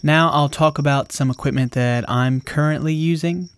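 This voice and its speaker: Clear, smooth-voiced man presenting a tutorial. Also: fairly high pitch, fairly even intonation, moderate pace. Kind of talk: explanatory video tutorial narration.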